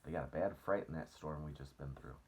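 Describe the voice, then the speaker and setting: A man's voice: rumbling low, fairly quick, a little mellow, breathy edge. A man voicing an eager, clever boy adventurer in a storybook, speaking earnestly. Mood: reassuring.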